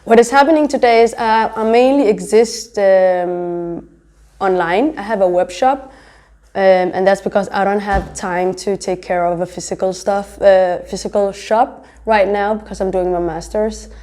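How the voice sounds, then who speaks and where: A woman's voice: mid-range voice, steady tone, normal speed, mellow. A young woman from Denmark talking casually in an interview as a designer, explaining herself in a reflective way. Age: young adult. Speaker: interviewee, fashion designer and entrepreneur.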